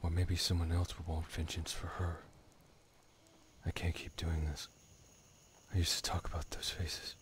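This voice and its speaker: A man's voice: deep voice, completely flat delivery, a little slow, pauses often. An actor playing a weary former killer and devoted father in a video game, subdued and reflective. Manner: subdued, reflective, at times near whispering. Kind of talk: dramatic game dialogue. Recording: noticeable background noise.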